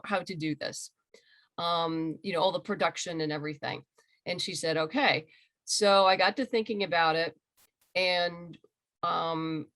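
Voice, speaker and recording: Middle aged woman on a video call interview, voice a bit low, chatty and informal. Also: fairly bright tone. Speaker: interview guest, comic book creator and writer. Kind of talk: conversational interview answers.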